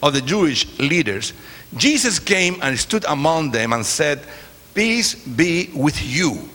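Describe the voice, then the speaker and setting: A man's voice: on the high side, big pitch swings, takes it slowly, sharp tone. A man from Chile, a native Spanish speaker with a Chilean accent, preaches informally as a guest speaker to a congregation, often hesitating as he goes. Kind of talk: sermon with informal, joking remarks to a congregation. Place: large church, speaking from the stage. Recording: reverberant church hall, congregation noise.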